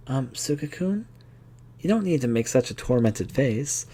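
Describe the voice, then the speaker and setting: A man voicing an earnest, protective young female student in a video game, pleading and halting as he reads the dialogue aloud. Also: medium pitch, lively intonation, warm tone, a little hiss or hum, pitched above the performer's own voice, lightly acted. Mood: gently reassuring.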